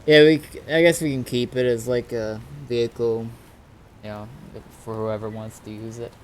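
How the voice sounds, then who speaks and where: A man streaming an online roleplay game, chatting casually and haltingly as he thinks aloud. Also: mid-range voice, fairly even intonation, slight background noise. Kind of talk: casual gaming chat.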